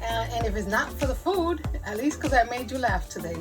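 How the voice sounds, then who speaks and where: A woman's voice: a little high, lively intonation, fairly quick, over music. Chatty Puerto Rican woman in her fifties. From Puerto Rico. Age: 50s. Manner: chatty, self-deprecating, laughs often. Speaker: cooking channel host. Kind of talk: casual cooking vlog. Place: home kitchen.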